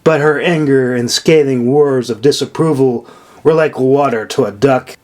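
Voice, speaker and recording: A man's voice: medium pitch, moderate pace, darkish tone. A man narrating a fantasy audiobook from a script, as the author of the story. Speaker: author reading own audiobook.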